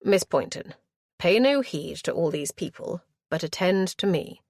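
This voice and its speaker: A woman voicing a composed young aristocratic man who speaks quietly and tersely. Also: fairly low pitch, expressive, measured pace, no room sound, studio quality. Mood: restrained. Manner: quiet, clipped, restrained.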